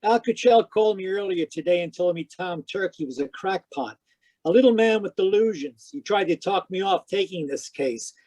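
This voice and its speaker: A man's voice: high-pitched, expressive, normal speed, a little mellow, no echo. A man acting a wry, persistent hard-boiled private detective in an old-time radio mystery, telling it matter-of-factly. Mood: pressing. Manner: wry, matter-of-fact storytelling. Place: close dry sound, remote live performance.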